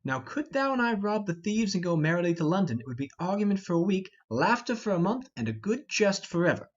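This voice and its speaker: A man's voice: high-pitched, big pitch swings, a little fast, muffled recording. A man voicing a mocking, cocky young prince with a taunting, commanding manner in an amateur Shakespeare reading.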